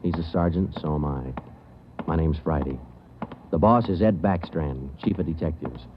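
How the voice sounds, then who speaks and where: An actor playing a terse police sergeant who narrates a case in clipped, matter-of-fact 1940s radio drama style. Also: male voice, very deep voice, normal speed, background noise. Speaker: detective narrator. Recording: muffled old radio broadcast.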